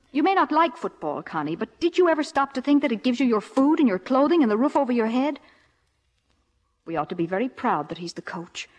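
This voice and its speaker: An actress playing a patient, soothing wife and mother, gently firm and earnest, in mid-century radio comedy style. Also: fairly high pitch, in a large hall. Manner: placating, earnest. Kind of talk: 1940s-50s radio comedy acting.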